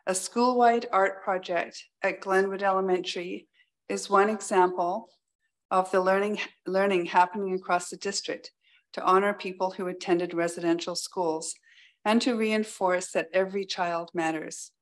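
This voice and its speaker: Woman trustee reading out school news from a script, mid pitch. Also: steady tone, normal speed. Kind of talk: scripted narration, read aloud at a meeting.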